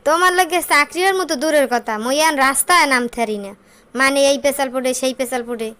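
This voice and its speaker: A woman's voice: sits high, fairly quick, a bit bright. A woman with a Barisal-region Bangladeshi accent playing a nagging, weary wife in a comic cartoon, scolding and lamenting. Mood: complaining. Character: nagging, weary working wife of a jobless man. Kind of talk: comic cartoon dialogue in rural dialect.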